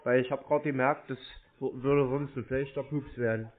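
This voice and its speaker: A man's voice: medium pitch, steady tone, moderate pace. A man chatting casually on the phone, playing naive and asking teasing questions. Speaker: prank call taker baiting phone scammers. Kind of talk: casual phone prank chat. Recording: muffled, narrow-band phone call.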